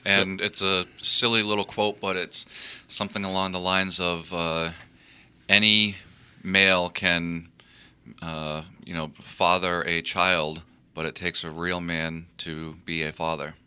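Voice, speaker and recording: A man's voice: deep voice, level tone, unhurried, sharp tone, clean tone. A young man co-hosting a radio talk show, speaking in a dry, laconic manner. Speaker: radio co-host.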